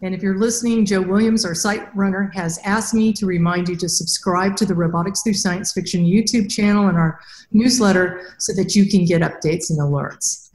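Soft-spoken woman host in a roomy call. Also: mid-range voice, steady tone, normal speed. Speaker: podcast host. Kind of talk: interview hosting on video call. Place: plain room, indoors. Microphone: webcam mic on video call. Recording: roomy video call audio.

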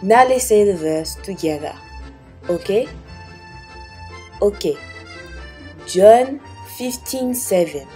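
A woman's voice: mid-range voice, animated, slow pace, long pauses, warm tone, faint music behind. A young woman teaching a kids' Bible lesson in a playful way, trying out silly accents. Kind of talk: playful scripted kids lesson.

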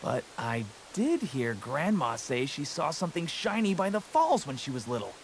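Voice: mid-range voice, sing-song, normal speed, a little hiss or hum, low-quality recording. Bossy, scornful village boy. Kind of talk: video game voice acting.

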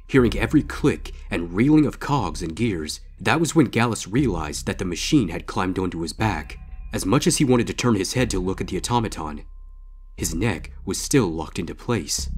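Deep-voiced man narrating a story. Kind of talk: dramatic scripted fan fiction narration.

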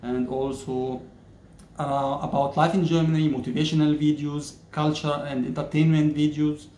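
A man in his thirties from Egypt, speaking English, explains a topic to camera in a measured way, pausing often on "uh". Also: on the high side, flat delivery, dark tone, roomy sound, slight background noise. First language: Arabic. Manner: measured, many "uh" pauses, gestures with hands. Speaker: YouTuber. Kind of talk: casual explainer talking to camera. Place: plain-walled indoor room.

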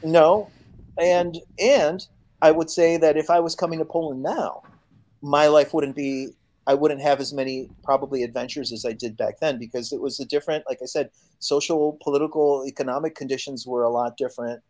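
A fairly high-voiced man in his fifties from Chicago, speaking from a room at home over a video call. He tells stories as an interview guest, slips into quoted voices and fills gaps with lots of "you know". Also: moderate pace. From Chicago, United States. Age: 50s. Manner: animated storyteller who acts out quoted voices, many fillers.

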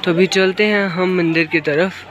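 A man's voice: high voice, fairly even intonation, moderate pace. A man, casually narrating a walking travel vlog into his phone. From Himachal Pradesh, India. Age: 20s. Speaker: vlogger. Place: outdoors on hill walkways, some indoors. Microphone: phone at arm's length. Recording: music laid loudly over speech. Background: loud music.

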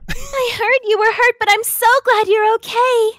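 A woman voicing a polite, gentle young female anime character with a soft, hesitant manner. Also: very high voice, fairly even intonation, moderate pace, no room sound, studio quality, soft background music, voice pushed up. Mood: sweet, relieved. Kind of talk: improvised anime character skit.

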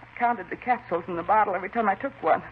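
A woman's voice: medium pitch, rapid-fire, close to an everyday voice. Actress doing fragile fixated housewife losing her mind, 50s radio play, dull recording w some noise. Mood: fretful, insistent. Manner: fretful, fixated, repeats herself. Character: distraught, mentally unstable housewife confessing to killing her husband. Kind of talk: 1950s radio drama acting. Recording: muffled, noisy 1950s radio recording.

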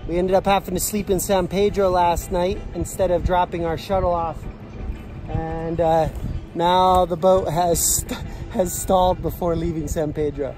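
A man's voice: sits high, level tone, measured pace, mellow, low-quality recording. A man in his forties filming himself on a phone outdoors, chatting easily about his trip and laughing now and then. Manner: easygoing, laughs while narrating. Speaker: vlogger. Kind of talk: casual travel vlog narration. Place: outdoors on a lake and in woods. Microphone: phone held at arm's length. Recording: music playing underneath. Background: music.

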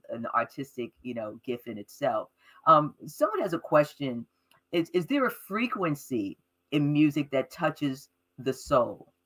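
A woman's voice: low voice, fairly bright tone. A woman hosting a live interview over a video call, speaking with enthusiasm and emphasis. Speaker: host. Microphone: webcam on a video call.

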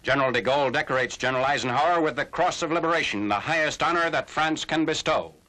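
A man narrating a scripted 1940s military newsreel in a formal, measured announcer's delivery. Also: on the high side, pushed and bright. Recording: noisy old 1940s film soundtrack.

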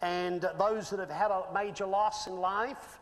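A man's voice: sits high, flat delivery, a little slow. A man in his fifties with an Australian accent, preaching a sermon and telling a personal story, earnest and building to emphatic peaks. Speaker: pastor. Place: church stage with screen. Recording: reverberant church hall.

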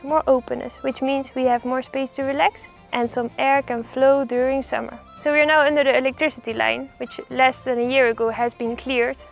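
A woman's voice: high voice, gravelly. A woman hosting a project vlog, narrating and explaining in an upbeat way. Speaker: vlog host.

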